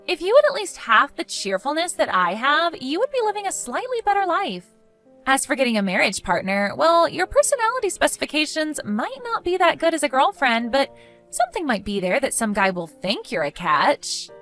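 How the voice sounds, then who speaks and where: A woman's voice: high voice, a bit bright. A woman voicing a catty, condescending, gossipy sister-in-law in an exaggerated, melodramatic style for a dramatized story. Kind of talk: dramatized text-message story voice acting.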